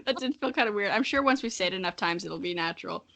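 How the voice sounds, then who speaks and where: A woman's voice: mid-range voice, expressive, fast talker, gravelly, fairly bright tone. An American woman in her early twenties hosting a podcast in casual comedic chat, informal and self-deprecating. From Missouri, United States. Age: early 20s. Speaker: podcast host.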